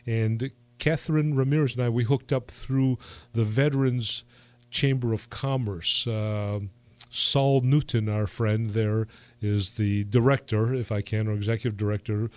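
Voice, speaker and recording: A man hosting a radio interview show in a studio, talking in a halting way with many fillers and restarts. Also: fairly low pitch, darkish tone, dry recording. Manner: hesitant, filler-heavy, restarts.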